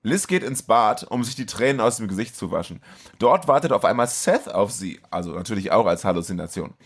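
A man delivers scripted recap narration as a podcast host. His voice is creaky and husky, with lively intonation and a dry, mocking edge. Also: fairly low pitch, brisk. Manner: wry, mocking.

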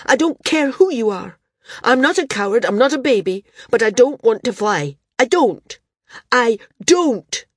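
A woman doing a shouting defiant boy. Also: fairly high pitch, big pitch swings, a little slow, slightly rough, dry recording, studio quality, pitched above the performer's own voice, exaggerated voice. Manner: shouting, raw and pleading. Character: defiant tearful boy, young teen.

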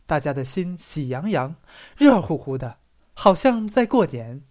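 An audiobook reader narrating a literary story in an expressive storytelling manner that stresses the dramatic phrases. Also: medium pitch, unhurried.

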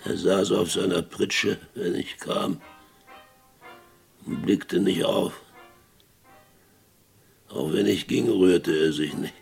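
An actor playing a broken, guilt-ridden, whisky-drinking former sheriff, telling his story slowly and bitterly in a radio play. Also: low-pitched, a little mellow, soft background music, full character voice. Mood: heavy, remorseful. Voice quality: very gravelly. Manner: slow, brooding, bitter.

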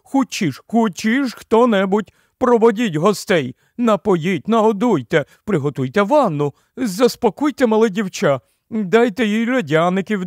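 A man's voice: high-pitched, no room sound, clean studio sound, voice pushed up. A man voicing an enormous, fussy giant queen from a storybook, gushing and exclaiming as he goes. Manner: exclamatory, cooing, repetitive fussy commands. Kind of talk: acted audiobook storytelling.

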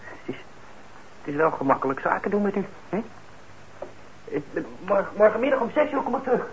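An actor in a radio play as a shy, eager young working-class lad who stammers and hesitates. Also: male voice, sits high, very animated, fairly quick. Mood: nervous, grateful. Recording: dull, noisy old radio recording.

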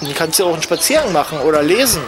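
Casting: male actor as lazy contrarian loafer, drawling and mock-pompous, fairly high voice, comic radio play with outdoor noise behind. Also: very animated, rapid-fire. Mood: languid. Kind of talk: scripted comic audio drama in casual German dialogue.

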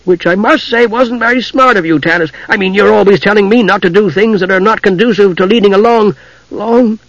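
A man's voice: sits high, animated, normal speed, a bit bright, dry recording, highs cut off, voice pushed up. A man voicing a small, naive, chatty kender adventurer who rambles eagerly, in a fantasy story reading. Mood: stern.

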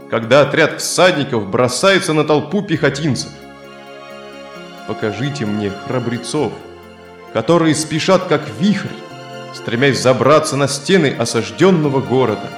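An actor playing a proud, disdainful Roman soldier who craves war, declaiming in a dramatized children's audio story. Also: male voice, medium pitch, expressive, a little slow, lightly acted. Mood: martial, fervent. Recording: reverberant studio with loud music.

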